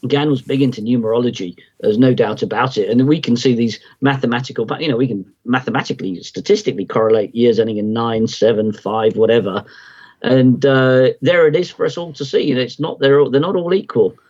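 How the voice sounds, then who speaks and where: A man's voice: mid-range voice, fairly quick, darkish tone, lo-fi sound. A middle-aged man, a guest on a podcast, explaining his ideas in a reflective, rambling way with many restarts. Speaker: guest market analyst.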